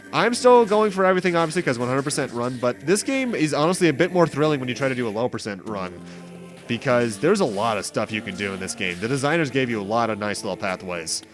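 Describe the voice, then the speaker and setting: Animated man doing game commentary. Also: mid-range voice. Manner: rambling, explanatory, self-correcting. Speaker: host of a video game playthrough series. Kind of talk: casual let's play commentary. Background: game music.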